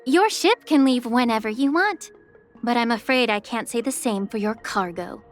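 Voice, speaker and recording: Woman acting a sly medicine guild leader, high-pitched, polite, composed, game cutscene. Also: expressive, moderate pace, soft background music, close to an everyday voice. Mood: coy, teasing. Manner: polite, unruffled, coy. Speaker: voice actor in a game.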